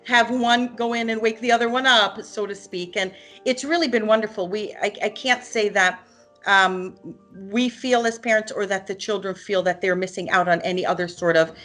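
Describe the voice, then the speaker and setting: Hungarian-born mother with soft music behind. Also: medium pitch, steady tone, moderate pace. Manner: hesitant, many ums and restarts. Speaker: parent giving a testimonial. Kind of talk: unscripted testimonial.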